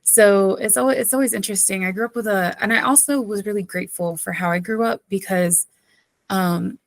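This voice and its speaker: A woman's voice: mid-range voice, fairly quick, gravelly, no room sound. Chatty woman pastor telling stories. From southeastern North Carolina. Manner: chatty, laughs often, many fillers. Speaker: pastor and podcast guest. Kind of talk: casual interview storytelling.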